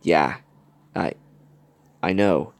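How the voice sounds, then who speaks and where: A man's voice: on the low side, very animated, normal speed, a bit bright, no echo, full character voice. A man voicing a blunt, guarded young woman in a dramatic story, curt and defensive. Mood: hesitant, sorry.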